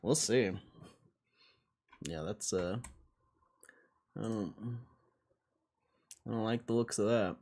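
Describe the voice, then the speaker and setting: A man's voice: lowish voice, slow, pauses often, a little mellow. A man livestreaming casual stock commentary, thinking aloud in a blunt, slangy, offhand way with plenty of swearing. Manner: foul-mouthed, blunt.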